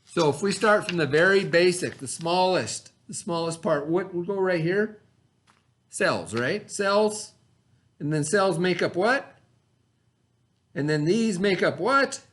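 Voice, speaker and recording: A man's voice: high-pitched, animated, slow, a bit bright. A man teaching a read-aloud lesson for children, speaking instructively, repeating key words and prompting with questions.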